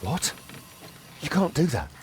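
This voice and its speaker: A man's voice: fairly high pitch, very animated, measured pace, a little hiss or hum. An actor playing a desperate, indignant father under arrest, pleading in a dramatic video game style.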